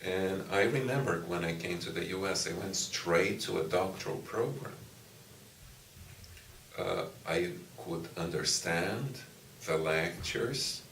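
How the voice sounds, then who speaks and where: A middle-aged man from Brazil whose first language is Portuguese, a university professor answering interview questions in a slow, thoughtful way with frequent pauses and restarts. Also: low voice, vocal fry, fairly bright tone, slight background noise. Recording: echoey room, distant mic, faint ambience.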